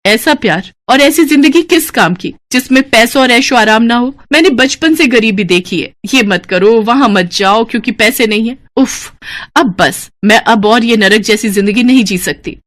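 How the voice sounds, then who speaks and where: A woman's voice: a little high, expressive, moderate pace, natural voice. A woman voicing a money-hungry young college girl in a Hindi cartoon story, whiny, argumentative and emphatic. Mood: defiant, indignant.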